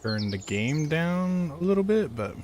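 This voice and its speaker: A man casually chatting to his stream viewers in a low-key, hesitant way. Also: big pitch swings, measured pace, a little mellow, slight background noise.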